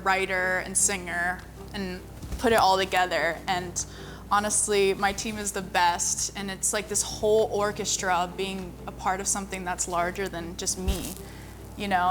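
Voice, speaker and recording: Chatty young woman over music. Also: medium pitch. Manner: chatty, frequent "like" fillers. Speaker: singer and model. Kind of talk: casual personal storytelling. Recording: music playing underneath.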